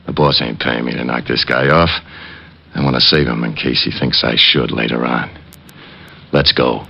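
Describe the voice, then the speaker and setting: An actor playing a menacing American hired killer in an old radio crime drama, with tough, taunting street talk and a gravelly voice. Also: male voice, extremely low pitch, fairly even intonation, normal speed, exaggerated voice. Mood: mocking. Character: menacing male hired thug, coolly sadistic killer. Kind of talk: 1940s-50s radio crime drama acting. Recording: muffled old-time radio recording.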